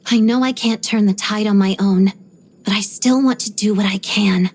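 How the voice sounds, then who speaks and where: Breathy, reserved young ballerina played by a woman. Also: medium pitch, fairly even intonation, darkish tone, close to an everyday voice. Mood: stoic, resolute. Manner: measured, restrained, articulate. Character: reserved, stoic young female ballerina trained by the Fatui. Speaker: video game character voice actor. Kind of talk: scripted game voice lines, introspective monologue.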